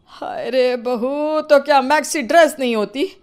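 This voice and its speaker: A woman's voice: high voice, a little slow. A woman playing an exasperated, dramatic middle-aged mother-in-law who nags and scolds in an acted moral story. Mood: complaining. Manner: nagging, theatrical.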